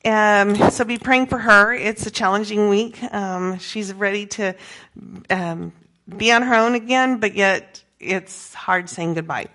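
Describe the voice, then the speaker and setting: A middle-aged woman with an American accent gives an informal talk to a group as a missionary, conversational and halting, often correcting herself. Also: mid-range voice, a bit bright. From grew up in Peru as an American missionary kid. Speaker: missionary speaker giving a presentation.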